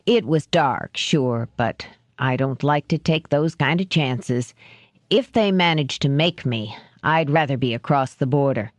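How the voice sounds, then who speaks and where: A cocky hired thug who talks in a casual, breezy way, as a woman reading the story puts him on. The voice sits low and the recording is dry and close. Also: unhurried, no room sound.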